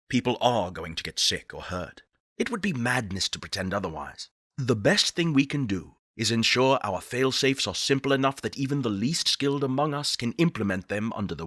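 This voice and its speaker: A crisp, forceful male voice, fairly low and recorded very dry, playing a visionary leader of a space program in a game. He is solemn and eloquent. Each line is delivered as deliberate, persuasive oratory. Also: big pitch swings, dry recording. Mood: grave warning.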